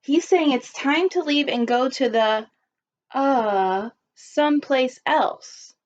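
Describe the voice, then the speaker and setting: Higher-voiced woman teacher, dull lo-fi recording. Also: takes it slowly. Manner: expressive, asking the listeners questions. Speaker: primary school teacher. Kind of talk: children's storytime read-aloud with asides to listeners.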